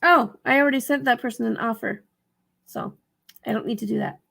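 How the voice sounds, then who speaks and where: A tired, dry-throated woman with a raspy voice gives a casual tutorial, rambling and correcting herself as she thinks aloud. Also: a little high, brisk, a bit bright. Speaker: vlogger giving reselling tips. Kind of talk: casual screen walkthrough tutorial.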